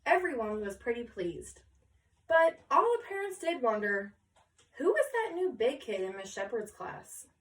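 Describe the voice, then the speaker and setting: A woman reading a picture book aloud to children in an expressive storytelling style. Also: fairly high pitch, measured pace. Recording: echoey room, distant mic.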